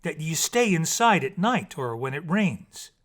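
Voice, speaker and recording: A man playing a hunter in a storybook, a patient teacher explaining things in clear, divided tones. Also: on the high side, big pitch swings, a little slow, fairly bright tone, voice pushed up.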